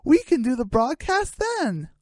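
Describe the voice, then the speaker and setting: A very high, bouncy voice for a mischievous young chicken. The delivery is excitable and giggly, swinging widely in pitch, in the manner of a comic radio play. The sound is close and dry. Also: female voice, measured pace, no room sound, exaggerated voice. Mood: giggly, excited. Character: giggly, mischievous young chicken prankster turned fake newscaster.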